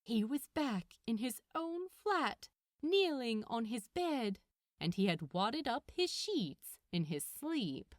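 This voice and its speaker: A woman's voice: a little high, big pitch swings, slow, no room sound, studio quality. A woman narrating a piece of fiction expressively, as a scripted audiobook-style reading.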